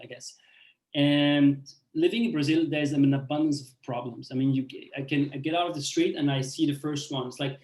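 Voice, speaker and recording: A man in his forties who grew up in Austria, telling his own story on a panel in a halting way, with many restarts and fillers. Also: medium pitch, darkish tone. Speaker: speaker on a panel. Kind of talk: personal story in a talk. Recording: roomy echo.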